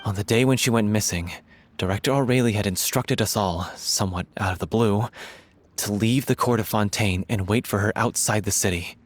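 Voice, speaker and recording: A man playing an excitable troupe scriptwriter. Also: on the low side, brisk, lightly acted. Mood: somber. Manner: storytelling, excitable. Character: enthusiastic male troupe scriptwriter. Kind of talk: game voice acting.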